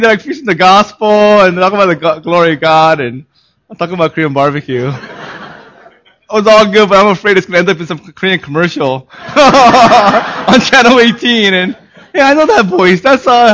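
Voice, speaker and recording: Hoarse male pastor preaching. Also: on the high side. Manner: laughing, relaxed opening turning earnest and solemn. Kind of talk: sermon.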